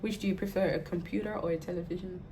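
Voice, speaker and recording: A woman's voice: lowish voice, steady tone, fast. A woman hosting a vlog, firing off curious, playful questions and humming along while her guest answers. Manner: curious, playful, frequent hums. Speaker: vlog host asking questions. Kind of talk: rapid-fire Q&A chat. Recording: noisy background.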